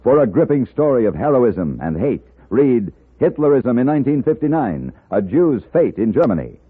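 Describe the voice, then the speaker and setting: A man's voice: medium pitch, sing-song, moderate pace, husky. A man announcing on 1950s radio in a scripted, dramatic and emphatic style, on a tinny old broadcast.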